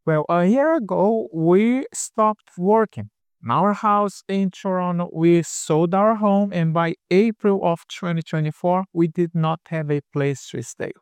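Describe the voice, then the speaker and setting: A man's voice: high-pitched, a little slow, clear, resonant tone, no echo. A man in his fifties from Brazil with a Brazilian Portuguese accent, co-hosting a casual podcast chat in an earnest, explanatory way. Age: 50s. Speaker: podcast co-host.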